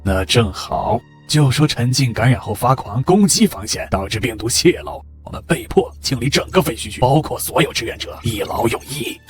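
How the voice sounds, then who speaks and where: Male actor as scheming military villain, medium pitch, cold, smooth, deferential, animated-comic drama, music in background. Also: normal speed, hardly any pauses, natural voice. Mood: coldly scheming. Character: ruthless scheming male military subordinate in doomed city.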